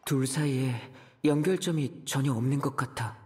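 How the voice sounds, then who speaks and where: A man voices a young game hero with a medium-pitched voice. He is thoughtful and measured, speaking like someone carefully piecing clues together. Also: darkish tone, lightly acted. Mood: pensive, puzzled. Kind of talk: scripted game dialogue.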